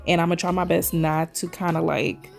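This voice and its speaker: A woman's voice: fairly low pitch, level tone, normal speed, creaky, a little mellow, soft background music. A woman from Trinidad doing a casual, chatty voice-over for her nail video, calling viewers "y'all" all the time, her voice still a little scratchy from a sore throat. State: recovering voice, slight throat tickle. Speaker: nail vlogger.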